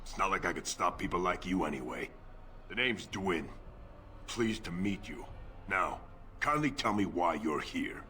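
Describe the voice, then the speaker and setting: An actor playing a grumpy, sarcastic dwarf trader in a fantasy game. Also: male voice, sits low, expressive, a little slow, pushed and bright, soft background music, heavily acted. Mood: sardonic. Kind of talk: video game voice acting.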